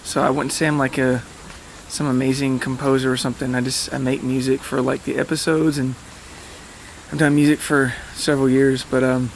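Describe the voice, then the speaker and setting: A man's voice: medium pitch, flat delivery, normal speed, vocal fry, a little hiss or hum. A man hosting a podcast in a casual, rambling monologue, informal, hesitant and self-deprecating. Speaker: podcast host.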